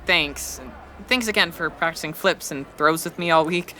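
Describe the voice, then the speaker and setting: An actor playing a shy high school boy who doubts himself and speaks hesitantly in an audio drama. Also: normal speed, pushed and bright, a little hiss or hum. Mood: shy, defensive.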